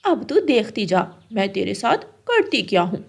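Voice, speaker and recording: A woman's voice: medium pitch, big pitch swings, normal speed, vocal fry, breathy edge, full character voice. A woman voicing a sly, wicked female crow thief in a cartoon, with a scheming, wheedling manner.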